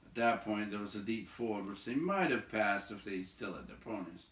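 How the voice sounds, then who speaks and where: A man hosts a storybook read-aloud, moving between narration and informal commentary. His voice sits a little low but has a bright, forward edge. The room adds a clear echo around his words. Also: animated, normal speed. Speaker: read-aloud host and reader. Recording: roomy, echoing home recording.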